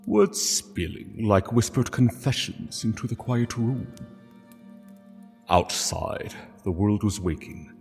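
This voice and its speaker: Deep, slow male story narrator in reverb. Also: darkish tone. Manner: solemn, measured, pausing between phrases. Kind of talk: dramatic scripted story narration. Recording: long reverb, music underneath. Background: music.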